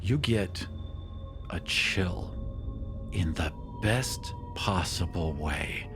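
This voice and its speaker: Low-voiced man narrating a dark game. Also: animated, very slow. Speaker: game master. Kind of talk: atmospheric narration of a tabletop roleplaying game. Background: music.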